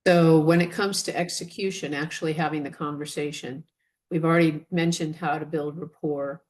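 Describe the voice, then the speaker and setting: A woman co-presenting a webinar, giving advice in a measured, earnest way and stressing key points deliberately. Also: low-pitched, steady tone, darkish tone. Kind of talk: instructive webinar talk.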